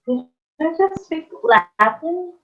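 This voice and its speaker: A woman's voice: very high-pitched, lively intonation, slow pace, a bit bright, heavily acted. A woman playing a confused, earnest teenage demigod boy in a theatrical table read, bewildered and questioning, on a slightly dull microphone.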